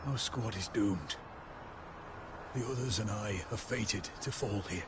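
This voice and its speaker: A man's voice: on the low side, a little slow, close to an everyday voice. A man voicing a grave, resigned soldier in a dramatic video game, speaking in an earnest, formal way. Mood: solemn resignation. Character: grave, resigned male soldier of a doomed squad. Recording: loud background noise and ambience.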